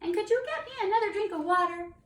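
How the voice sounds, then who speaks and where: An eager little dragon from a bedtime storybook, acted out by a woman, pleading in a very high, childlike voice. The sound is roomy and a bit distant from the mic. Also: lively intonation, fast. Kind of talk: children's storybook read-aloud.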